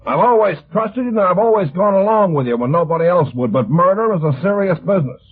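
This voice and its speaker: An actor playing a bullheaded, suspicious police officer in an old-time radio drama, blunt and blustering. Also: male voice, high-pitched, expressive, quick pace, slightly rough. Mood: stern, suspicious. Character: bullheaded, suspicious male police officer, gruff but loyal. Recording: muffled, phone-like old radio recording.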